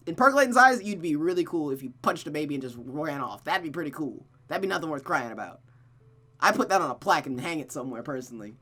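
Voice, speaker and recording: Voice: medium pitch, sharp tone. A playful, jokey streamer who reads a visual novel aloud, moving between casual chat and dramatic story narration.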